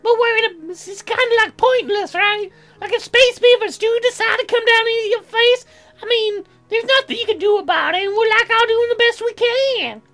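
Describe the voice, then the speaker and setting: A man voicing a crude, silly, excitable, childlike woman in a comic visual novel, rambling in a dim-witted way. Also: very high voice, normal speed, faint music behind, pitched above the performer's own voice, exaggerated voice.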